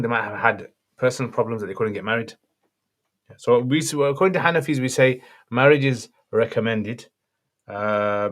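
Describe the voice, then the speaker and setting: Man, slightly croaky, scholar on a live Q&A, fairly low voice, short direct answers. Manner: brief, matter-of-fact answers. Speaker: Islamic scholar answering viewer questions. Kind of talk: livestream Q&A, reading out questions.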